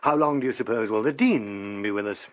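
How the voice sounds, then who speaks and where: An actor playing a worldly English archdeacon in a radio costume drama, formal, brisk and dryly pointed. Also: male voice, lowish voice, highs cut off, lightly acted. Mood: pointed, businesslike.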